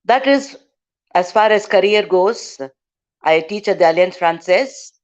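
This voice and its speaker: A woman's voice: medium pitch, lively intonation, a little slow. A middle-aged woman teaching French students in an online lecture, quizzing them, laughing often and hesitating with fillers. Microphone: video call. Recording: dull lo-fi call audio.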